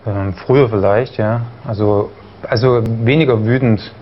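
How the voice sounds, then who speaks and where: A man from East Germany speaks as a guest on a studio talk show, his voice sitting low. He hesitates, stutters now and then and fills the gaps with ums. The broadcast audio is a little dull, with faint noise underneath. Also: steady tone, normal speed. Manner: stutters at times, hesitant with many fillers. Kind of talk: studio interview.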